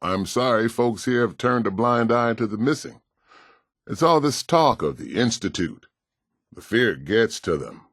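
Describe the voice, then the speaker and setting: An actor playing a kindly, world-weary pastor in a video game, with an American accent and a gentle, folksy, plain-spoken way of talking. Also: male voice, mid-range voice, animated, a little slow, dry recording, clean studio sound. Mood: welcoming, earnest.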